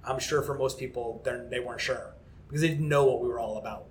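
A man's voice: medium pitch, quick pace, slight background noise. A man talking casually as a taproom co-owner in an interview, enthusiastic and rambling with lots of restarts.

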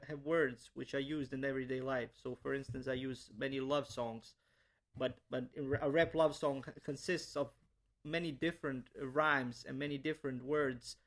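A man's voice: mid-range voice, fairly bright tone. A young man from Bosnia, a language teacher, answering interview questions earnestly with many restarts and repeated words.

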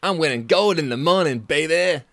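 A man doing a loud Californian dude champion. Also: fairly high pitch, lively intonation, normal speed, dry recording, studio quality, voice pushed up. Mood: rowdy. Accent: Californian, put on. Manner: loud, boastful, surfer-dude drawl. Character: brash young male American snowboarding champion.

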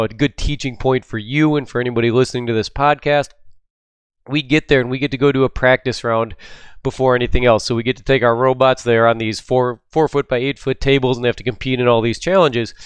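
A man's voice: mid-range voice, clear, resonant tone, dry recording. A middle-aged man hosting his own podcast, talking casually and upbeat with lots of ums, his voice a little stuffed up. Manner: chatty and upbeat, with frequent ums. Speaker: podcast host. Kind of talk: casual solo podcast talk.

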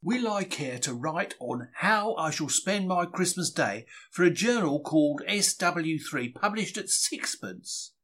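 A man's voice: a little high, lively intonation, unhurried, hardly any pauses, fairly bright tone. A man reading a diary as a chatty, self-deprecating 1940s market bookseller, wry and plain-spoken. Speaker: reader.

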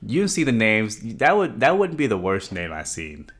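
Chill young guy, low voice, cracking jokes and agreeing a lot on a podcast. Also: wildly swooping pitch, fairly bright tone. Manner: laid-back, joking, quick agreeing asides. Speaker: podcast co-host. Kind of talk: casual chat about movies.

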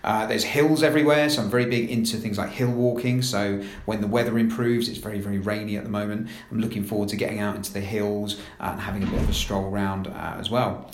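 A man's voice: lowish voice, steady tone, fast talker, hardly any pauses, roomy sound, slight background noise. A man in his thirties from London with a London accent, introducing himself as a new recruitment manager in a casual, filler-heavy way. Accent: London English. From London, England. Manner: chatty, frequent "uh" fillers and repeated "very, very". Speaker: assistant director of recruitment. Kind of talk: staff introduction interview.

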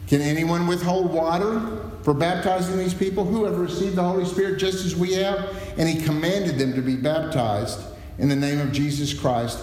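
A man's voice: fairly high pitch, a little mellow, slight background noise. A middle-aged man preaching a sermon and reading scripture, earnest and teacherly. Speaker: pastor. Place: church sanctuary. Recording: very echoey hall, long reverb.

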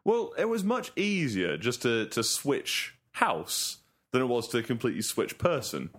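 A man's voice: mid-range voice, big pitch swings, a little slow, a bit bright, husky. A man co-hosting a casual podcast chat, joking and playful. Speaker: podcast co-host.

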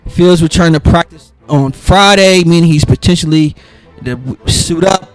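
A man hosting a casual solo football podcast, raspy and under the weather, rambling loosely with lots of fillers and restarts. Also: a little high, normal speed, soft background music. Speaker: podcast host making football picks.

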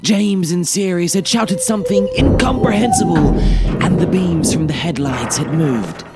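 A man narrating a story in a theatrical, expressive, dramatic audiobook style. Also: high voice, moderate pace. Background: music.

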